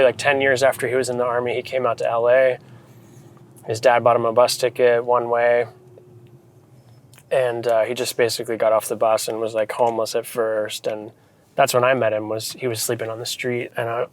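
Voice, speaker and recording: A man's voice: mid-range voice, slight background noise. An American man from New England chatting casually and thoughtfully as an interviewed photographer, speaking informally. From United States, New England. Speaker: photographer and director being interviewed. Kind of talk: casual reflective chat.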